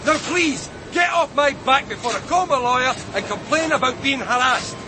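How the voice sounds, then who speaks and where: An actor playing an indignant company director, curt and defensive, in a radio drama. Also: male voice, extremely high pitch, animated, moderate pace. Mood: defensive, irritated. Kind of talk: broadcast radio drama acting. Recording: busy outdoor street noise behind voice.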